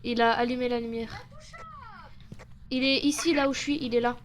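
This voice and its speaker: A woman's voice: a little high, normal speed, slight background noise. A woman streamer commentating a ghost-hunting game, patiently repeating questions and reacting with sudden surprise.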